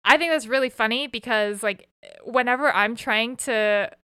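Bright, crisp woman asking curious questions. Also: a little high, moderate pace, no echo, clean studio sound. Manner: curious, asks questions, thinks aloud with fillers. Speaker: podcast host reading listener posts. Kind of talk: casual podcast chat.

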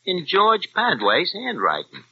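A man's voice: high voice, wildly swooping pitch, unhurried, slightly rough, full character voice. An actor playing a small-time crook who narrates his scheme in slangy tough-guy talk with bad grammar, in 1940s radio drama style. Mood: scheming. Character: streetwise small-time crook posing as an Englishman. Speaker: narrator and lead character. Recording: dull old radio recording with music.

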